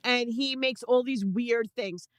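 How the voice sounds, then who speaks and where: A woman's voice: on the high side, measured pace, clean tone, no echo. A woman from Brooklyn with a Brooklyn accent, chatting loosely on an internet radio show, restarting her sentences often. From Brooklyn, New York. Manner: chatty, with frequent restarts and fillers. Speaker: co-host.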